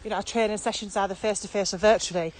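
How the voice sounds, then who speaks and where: A woman's voice: medium pitch, steady tone, very fast. A woman with a British accent co-hosting a podcast in casual, questioning conversation while out walking. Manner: questioning, acts out quotes. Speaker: podcast co-host and trainer. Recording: noisy walking recording with ambient sound.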